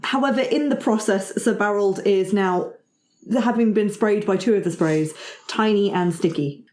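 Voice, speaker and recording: A woman improvises narration as a fantasy game master. Her voice is soft-edged and mellow at an average pitch, conversational and playful. The studio sounds roomy. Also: normal speed.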